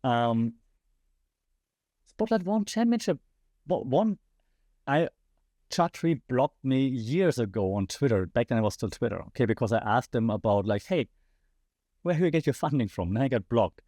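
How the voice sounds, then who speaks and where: A man's voice: mid-range voice, animated, moderate pace, pauses often, dark tone, dry recording, clean studio sound. A man with a German accent hosting a podcast, giving passionate solo commentary and putting rhetorical questions to his listeners. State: slightly losing his voice. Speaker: podcast host.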